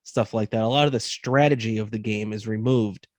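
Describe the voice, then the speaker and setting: A man's voice: fairly low pitch, fairly even intonation, brisk, darkish tone, dry recording. Man in his thirties talking sports, dry sound. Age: 30s. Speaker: sports podcast co-host. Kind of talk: casual sports talk.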